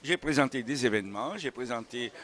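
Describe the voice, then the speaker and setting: A male author talks off the cuff about his novels at a medium pitch. He often pauses to search for words and wanders into side thoughts, as someone explaining his work in an interview. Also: animated, fairly bright tone. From Italian family background. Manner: halting, searching for words, digressive. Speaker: novelist presenting his books. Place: book festival.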